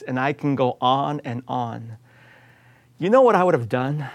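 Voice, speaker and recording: Earnest man in his forties preaching slowly. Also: mid-range voice. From United States. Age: 40s. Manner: earnest, emphatic, with repeated refrains. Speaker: pastor. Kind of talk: sermon.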